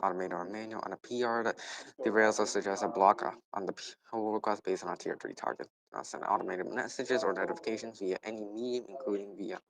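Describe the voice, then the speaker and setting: A man's voice: lowish voice, low-quality recording. A man reading a technical policy document aloud, stumbling over words and repeating phrases as he goes. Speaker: reader.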